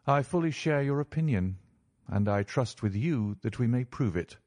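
A man voicing a keen, courteous gentleman detective who speaks in a measured, formal, probing way in a storybook. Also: mid-range voice, expressive, pitched above the performer's own voice, close to an everyday voice.

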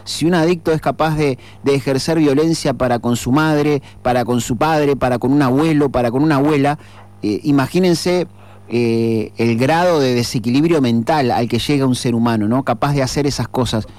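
A man's voice: medium pitch, moderate pace, darkish tone. A man hosting a radio talk show, speaking earnestly and reflectively with frequent fillers and restarts. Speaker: radio host. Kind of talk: radio commentary.

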